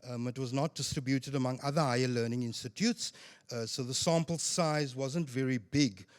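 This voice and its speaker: A man with a loud voice giving a formal panel presentation on stage into a handheld mic, measured and dotted with ums. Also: medium pitch. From South Africa. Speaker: student representative speaker. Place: event stage.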